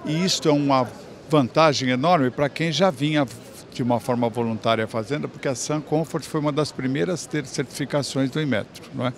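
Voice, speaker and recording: A man's voice: mid-range voice, a little fast, faint music behind. A man in his fifties with a Brazilian Portuguese accent, hosting on-site interviews at a trade fair and praising products with enthusiasm, speaking into a handheld mic in a large exhibition hall. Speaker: trade show TV host.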